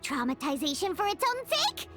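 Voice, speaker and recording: Squeaky, sassy little fairy girl. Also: big pitch swings, normal speed, loud music behind the voice. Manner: squeaky, indignant, calls herself by name. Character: tiny childlike female fairy companion, blunt and sassy. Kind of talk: video game voice acting.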